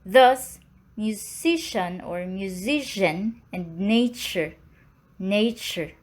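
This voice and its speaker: A woman with a Filipino accent lectures on English sounds, pronouncing letters and sounds one at a time. She talks very slowly and deliberately at a mid pitch, like a teacher going over slides. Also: animated. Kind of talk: slow, deliberate classroom lecture.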